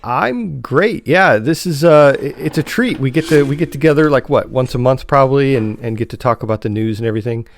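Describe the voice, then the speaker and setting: A man hosting a casual podcast chat, talking in a halting way with repeated words and restarts. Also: mid-range voice. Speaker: podcast host and editor.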